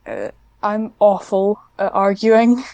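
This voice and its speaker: A woman's voice: medium pitch, steady tone, slow pace, mellow, dry recording. A woman chatting casually as an interview guest, in a hesitant, self-deprecating way. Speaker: guest, hobbyist audio script writer.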